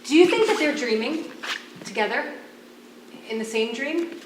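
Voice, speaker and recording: A woman's voice: sits high, expressive, moderate pace. Woman playing a curious doctor, distant and echoey. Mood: amazed. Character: curious, compassionate female hospital doctor. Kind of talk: naturalistic stage dialogue. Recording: echoey, noisy, distant stage recording.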